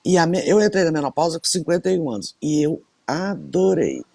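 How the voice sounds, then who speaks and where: A woman's voice: deep voice, a little fast, gravelly, breathy edge, no room sound, faint music behind. A woman in her sixties, co-hosting a radio show, telling stories in a casual chat with lots of emphatic repetition. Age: 60s. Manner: animated storyteller, emphatic repetitions.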